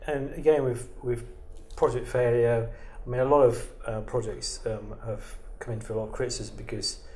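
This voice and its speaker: A man's voice: medium pitch, fairly even intonation, moderate pace, darkish tone, roomy sound. A man interviewing a guest, building long questions full of "um" and "I mean". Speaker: interviewer, editor for an IT institute.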